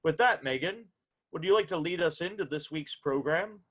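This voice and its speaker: Male show host and interviewer: polite, announcer-like, somewhat higher register. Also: lively intonation, normal speed, fairly bright tone. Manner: courteous, announcer-like. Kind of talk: polite radio interview hosting.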